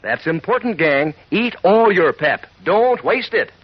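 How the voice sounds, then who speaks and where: A man's voice: high voice, very animated, unhurried, loud music behind the voice. A man doing a chummy, folksy 1940s radio advertising read as an enthusiastic announcer. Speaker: radio announcer and sponsor pitchman. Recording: dull lo-fi 1940s radio recording.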